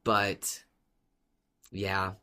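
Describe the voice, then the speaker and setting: Podcast cohost, really deep voice, talks slowly, casual and agreeable, repeats stuff for emphasis. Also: completely flat delivery, sharp tone, smooth. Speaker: podcast co-host.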